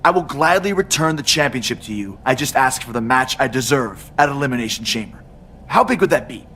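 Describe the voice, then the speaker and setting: A man's voice: medium pitch, animated, a little fast, sharp tone, slight background noise. A man playing a cocky, self-entitled pro wrestler in a video game cutscene, with a smug, sarcastic manner. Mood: smug, placating.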